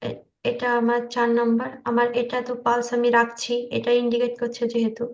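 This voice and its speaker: A woman's voice: fairly high pitch, completely flat delivery, a little fast, gravelly, darkish tone. A woman giving an informal screen-share tutorial, hesitant and checking that her listeners follow. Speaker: tutor demonstrating video animation software. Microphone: online meeting call. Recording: dull lo-fi online call audio.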